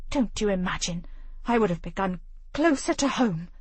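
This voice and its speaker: A woman's voice: mid-range voice, expressive, normal speed, a little mellow, breathy edge, no echo. A woman voicing a cold, scornful aristocratic mother who speaks icily and haughtily. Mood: icy. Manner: icy, haughty.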